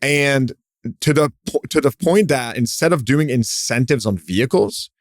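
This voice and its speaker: A man's voice: medium pitch, animated, normal speed, no echo, clean studio sound. A man hosting a business podcast, asking curious questions in a loose style full of fillers. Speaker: podcast host. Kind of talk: podcast interview.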